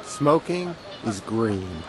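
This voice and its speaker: A man playing an earnest advocate who lectures in a radio play, stressing his points with pauses and repetition. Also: lowish voice, very animated, crowd noise, background noise, exaggerated voice. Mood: solemn, declarative. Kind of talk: scripted radio play monologue, satirical lecture.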